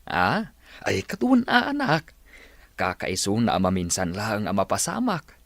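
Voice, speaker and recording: A man's voice: on the low side, huge pitch swings, measured pace, gravelly, fairly bright tone, dry recording, heavily acted. A man with an Ilocano accent playing an affectionate, hardworking village pig farmer and father in a radio drama, on a noisy recording. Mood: tender.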